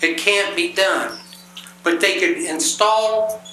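A man in his seventies, a retired electrician, giving earnest plainspoken public comment at a council meeting with many uh pauses. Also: high voice. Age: 70s. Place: large council chamber. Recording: echoey chamber with background noise.

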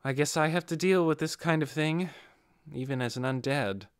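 A man's voice: on the high side, normal speed, smooth, no room sound, studio quality, pitched above the performer's own voice, natural voice. Man voicing a brooding, wary undead adventurer. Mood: resigned.

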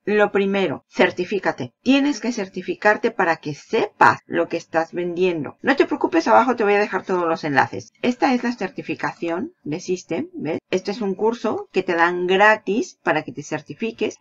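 A woman's voice: medium pitch, highs cut off. A woman from Spain talking straight to the viewer in an upbeat way, narrating a screen-share tutorial on online marketing. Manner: upbeat, direct, addresses the viewer as tú. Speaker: YouTuber teaching online marketing.

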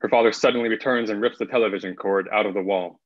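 A man's voice: lowish voice, fairly even intonation, fast talker. A young man speaking as the narrator of a table read over a video call. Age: young adult. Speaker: narrator and actor. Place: plain room at home. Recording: dull video-call audio.

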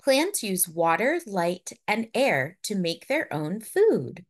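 Slow, expressive woman reading a picture book. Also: mid-range voice. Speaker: children's book read-aloud narrator. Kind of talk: scripted children's picture book narration.

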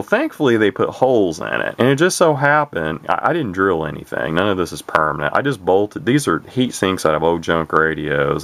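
A man's voice: low-pitched, gravelly, a bit bright. A man casually showing off an old radio on camera, folksy and rambling with lots of fillers and restarts. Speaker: radio hobbyist showing his gear. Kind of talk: casual show-and-tell, off the cuff. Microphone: camera mic, speaker off screen behind the camera.

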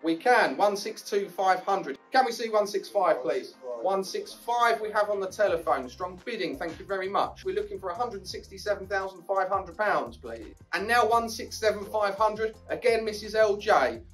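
A man in his thirties with an English accent, working as a property auctioneer and calling bids at a live auction in a brisk, encouraging way. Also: high-pitched, animated, a bit bright, distant mic, soft background music, poor audio. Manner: brisk, upbeat, encouraging bidders. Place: small auction room with banner backdrop. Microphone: podium mic, filmed from a distance.

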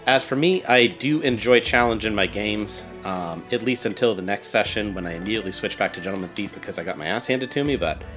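A man's voice: fairly low pitch, fairly quick, few pauses, a bit bright, soft background music. A man casually commentating a game he plays, chatty and self-deprecating, sometimes reading dialogue aloud. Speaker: let's play host.

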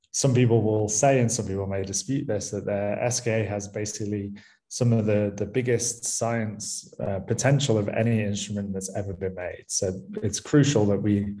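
A man with a Manchester-area English accent gives a conference talk from a small room over a webcam. His voice sits low and sounds mellow, and his delivery is relaxed, full of ums and small restarts. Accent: Mancunian English. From England, near Manchester. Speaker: university lecturer.

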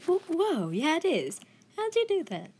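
Woman acting brash young prince, comic dub, theatrical, excitable, exclaiming, highly animated pitch. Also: a little slow, full character voice. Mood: amazed. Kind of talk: fan comic dub reading.

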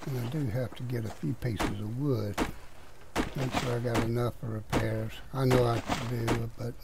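A man's voice: medium pitch, moderate pace, vocal fry, very noisy. A man with a Southern US accent giving casual, folksy commentary over a survival game he is playing. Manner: relaxed, folksy drawl with regional words. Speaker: gaming YouTuber.

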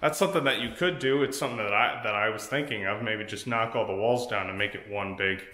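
An American man in his thirties giving an unscripted property walkthrough to camera as a real estate agent, halting and repeating phrases as he explains. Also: on the low side, pushed and bright. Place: empty rooms of a large house, indoors. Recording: echoey bare rooms, music behind.

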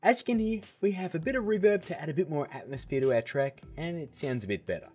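Upbeat man walking through software steps. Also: on the high side, lively intonation, fast talker, over loud music. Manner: upbeat, step-by-step, casual. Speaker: video tutorial host. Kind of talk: software tutorial voice-over.

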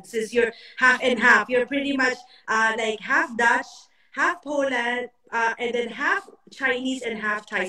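A chatty woman hosts an interview over a video call, eager and full of filler words. Her voice is slightly high and bright. She sounds distant from the mic in a very echoey room. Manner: warm, enthusiastic, many fillers. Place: indoor home room.

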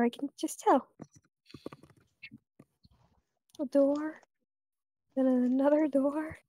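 A woman's voice: sits high, mellow, no room sound, natural voice. A woman voicing an anxious, foul-mouthed jester losing her mind in a comic cartoon fandub, repeating herself as she mutters. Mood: unraveling. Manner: swearing, repetitive, muttering to herself.

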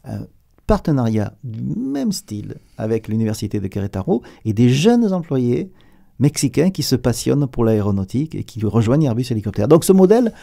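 A middle-aged man with a southern French accent, a company director giving an animated, hesitant radio studio interview with repeated words. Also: medium pitch, brisk, vocal fry. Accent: southern French, Provençal. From southern France. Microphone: table mic with headphones.

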